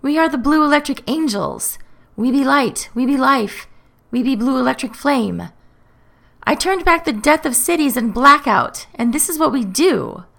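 A woman puts on an indignant male mayor from a fantasy audiobook. The voice is high and gravelly, rising and falling sharply. He is whiny and pompous, protesting like a sulking child. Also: animated, a little slow, dry recording, heavily acted. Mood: indignant, grandiose. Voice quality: creaky, whiny. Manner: petulant, grandiose. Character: indignant, self-important, sulky man, magical mayor of London. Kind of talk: audiobook-style character reading.

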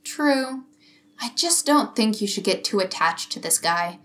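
Woman playing sensible lady cop, kinda skeptical, dry delivery, normal pitch. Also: animated, measured pace, a bit bright. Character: sensible, skeptical young female police detective.

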